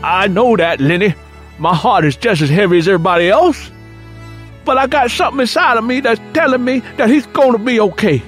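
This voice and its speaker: A man voicing an anguished but hopeful father in a dramatized audiobook, with halting, pleading reassurance. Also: sits high, soft background music, pitched above the performer's own voice, close to an everyday voice. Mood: pleading, upset.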